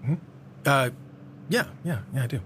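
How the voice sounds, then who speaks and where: An actor playing an earnest older small-town man in an audio drama, hesitantly recalling a dark local legend. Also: medium pitch, lightly acted. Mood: somber, reminiscing. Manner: hesitant, halting storytelling. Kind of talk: naturalistic audio drama acting. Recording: clear background ambience noise.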